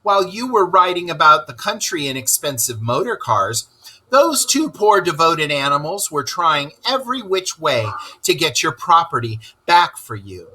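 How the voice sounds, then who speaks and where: A man's voice: a little high, sing-song, normal speed, sharp tone, a little hiss or hum, pitched above the performer's own voice, exaggerated voice, poor audio. A man voicing a sensible, good-natured but scolding water rat in a children's story, with a reproachful, admonishing manner. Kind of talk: animated children's storybook character reading.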